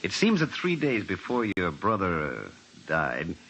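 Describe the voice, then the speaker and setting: Older prison warden, fatherly, sober, low pitch, lively intonation, noisy vintage radio drama. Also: unhurried, vocal fry, heavily acted. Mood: grave. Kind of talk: mid-century radio drama acting. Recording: noisy old radio broadcast.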